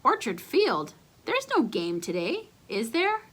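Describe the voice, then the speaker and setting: A woman's voice: fairly high pitch, huge pitch swings, slow pace, a bit bright, exaggerated voice. A woman voicing a slangy, breezy, teasing young uncle in a children's storybook. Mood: puzzled, casual. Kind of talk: children's storybook read-aloud.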